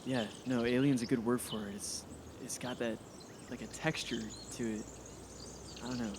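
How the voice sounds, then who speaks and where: A young man playing a curious, level-headed high school boy in an audio drama, talking casually and haltingly. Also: level tone, close to an everyday voice. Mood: puzzled wonder. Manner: hesitant, thoughtful, casual. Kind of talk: naturalistic audio drama acting. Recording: noisy outdoor recording with insects. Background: crickets, forest ambience.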